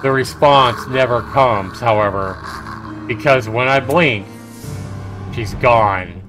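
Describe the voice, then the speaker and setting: Slow-paced man reading a game dramatically. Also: mid-range voice, low-quality recording. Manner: dramatic reading, colloquial asides. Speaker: livestreamer. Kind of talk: game narration read aloud with casual commentary. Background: game music.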